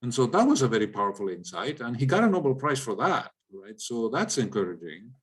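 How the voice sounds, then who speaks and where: Male economist from Latin America, medium pitch, explanatory informal lecture delivery, frequently checks listeners are following. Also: sing-song, fairly quick, a bit bright, slightly rough. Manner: explanatory, often checks in with "right?". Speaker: economist, guest speaker. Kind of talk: informal lecture with audience questions.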